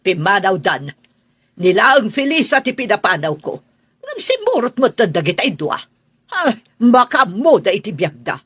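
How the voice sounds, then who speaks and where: Woman acting a husky, haughty, cruel aunt. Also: medium pitch, sing-song, normal speed, fairly bright tone, no room sound. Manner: scornful, imperious. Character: cruel, haughty middle-aged aunt and household employer. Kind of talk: radio drama acting.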